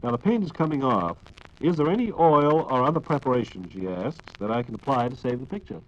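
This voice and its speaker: Dull-sounding 1940s American radio host. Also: male voice, medium pitch, expressive, brisk. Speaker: radio program host reading listener questions. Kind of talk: 1940s radio announcing, scripted.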